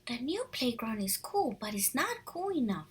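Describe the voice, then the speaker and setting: A woman teaching young children through a picture-book read-aloud, animated and encouraging, pausing to ask questions, with a husky, breathy voice. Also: on the high side.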